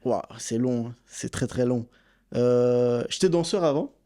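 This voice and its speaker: A Belgian man, a boxing coach and content creator, telling his story in a relaxed, easygoing way as a podcast guest. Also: medium pitch, a little slow. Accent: Belgian French. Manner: relaxed storytelling, many fillers. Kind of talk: casual interview.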